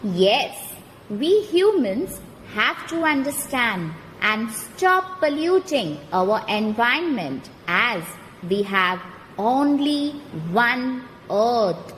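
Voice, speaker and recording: Woman as high, lively Indian mother puppet. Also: very slow, exaggerated voice. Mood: earnest. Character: caring mother puppet, patient and instructive. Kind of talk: scripted children's puppet show. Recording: reverberant hall, background noise.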